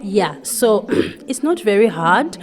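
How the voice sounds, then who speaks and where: A woman's voice: mid-range voice, sing-song, slow pace, a crowd in the background. A woman in her thirties from Zimbabwe, whose first language is Shona, answering radio interview questions in a hesitant, thoughtful way with many fillers. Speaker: guest. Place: community event.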